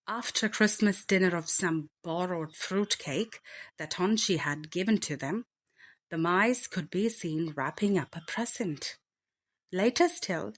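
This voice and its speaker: A woman reading a children's bedtime story aloud with deliberate, expressive phrasing. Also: fairly low pitch.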